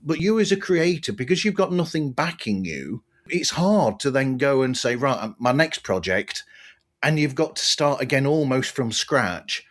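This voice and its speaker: A man's voice: medium pitch, animated, moderate pace. A man hosting a conversational podcast interview, rambling a little as he thinks aloud. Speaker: podcast host.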